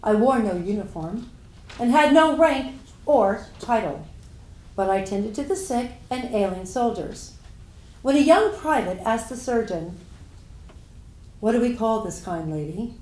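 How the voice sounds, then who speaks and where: A woman's voice: on the high side, lively intonation, unhurried, pauses often, darkish tone. A woman playing a kindly, humble Civil War volunteer nurse, telling her story plainly in a staged dramatic reading. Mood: kindly, modest. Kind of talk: first-person historical monologue, staged dramatic reading. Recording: echoey room.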